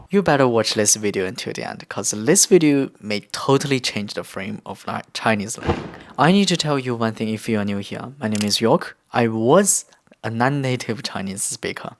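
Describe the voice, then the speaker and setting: Lively young man teaching. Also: medium pitch, normal speed. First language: Korean. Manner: lively, explains step by step. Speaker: language teacher. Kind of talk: explanatory video lesson.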